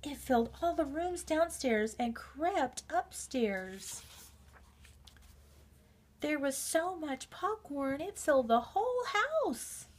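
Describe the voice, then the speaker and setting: Slow, higher-voiced woman reading a storybook. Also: big pitch swings, slight background noise. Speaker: storybook reader. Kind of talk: children's read-aloud narration.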